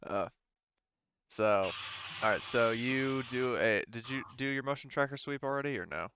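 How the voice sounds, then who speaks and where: A man's voice: medium pitch, moderate pace, fairly bright tone, clear, resonant tone. A man speaking casually as game master of a tabletop roleplaying game, improvising his narration.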